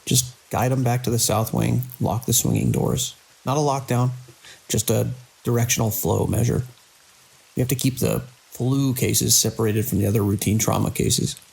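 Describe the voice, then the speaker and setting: An actor playing a controlling male hospital director in an audio drama, brisk and dismissively reassuring as a crisis grows. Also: mid-range voice, animated, vocal fry, a little mellow, husky, full character voice, low-quality recording. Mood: exasperated, controlled.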